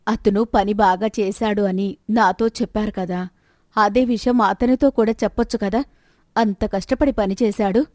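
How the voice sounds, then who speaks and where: Woman doing a nagging practical wife in a story, persuasive, mid pitch, dry recording. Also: fairly even intonation, moderate pace, darkish tone, no room sound, lightly acted. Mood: assured, persuasive. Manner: chiding, questioning, persuasive. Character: practical, persistent, nagging middle-aged wife of rich merchant. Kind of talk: moral story dialogue.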